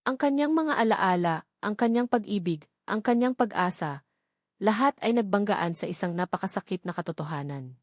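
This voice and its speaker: Woman, storyteller, scripted dramatic narration, steady delivery, mid pitch, dry recording. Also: moderate pace, dry recording.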